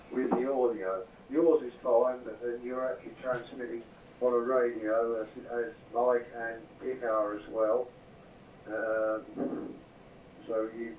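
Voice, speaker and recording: A man's voice: mid-range voice, darkish tone, highs cut off. Man over ham radio, distant and echoey. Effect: digital radio transmission. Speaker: amateur radio operator. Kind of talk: casual radio chat. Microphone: cheap headset microphone. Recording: echoey distant radio speaker, popping, noisy.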